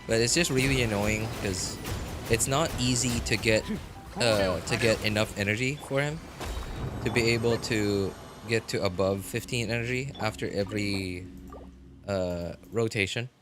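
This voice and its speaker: Man, game streamer, lowish voice, casual chat with viewers, relaxed, faint music behind. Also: normal speed. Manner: relaxed, chatty, reads and answers chat; frequent fillers and restarts, laughs easily.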